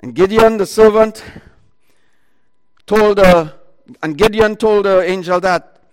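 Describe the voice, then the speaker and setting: A man with a high-set voice preaching loudly into a microphone to a congregation. He retells scripture in bursts, stopping and restarting and filling gaps with "uh" and "um". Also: slow, smooth, in a large hall, low-quality recording. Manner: loud voice, talks in bursts with many fillers and restarts. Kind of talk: sermon retelling a Bible story.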